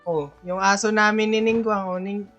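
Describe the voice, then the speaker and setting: A woman's voice: mid-range voice, fairly even intonation, normal speed, a bit bright, muffled recording, soft background music. A woman with a Filipino accent giving casual, playful gameplay commentary, teasing and chatting fondly to the characters. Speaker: game streamer.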